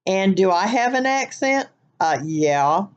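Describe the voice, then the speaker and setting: A woman's voice: mid-range voice, lively intonation, slow, lo-fi sound. An older woman with a mixed Southern and Wisconsin nasal accent, chatting casually and a bit rambly to her webcam from her living room couch. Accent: Southern US mixed with Wisconsin nasal twang. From American Midwest. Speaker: vlogger. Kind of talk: casual chat, accent tag video.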